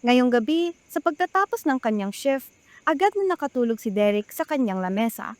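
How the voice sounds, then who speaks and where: A woman's voice: high voice, lively intonation, moderate pace, darkish tone. A woman with a Filipino accent reading scripted narration for a radio drama.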